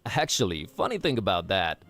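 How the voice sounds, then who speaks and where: A sardonic out-of-town hero in a cartoon adventure game. He talks offhandedly in a middling pitch with big expressive swings, with light music underneath. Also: fairly quick. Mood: wry. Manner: wry, offhand. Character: wry, casual, sarcastic out-of-town adult male sleuth hero. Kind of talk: animated adventure game acting.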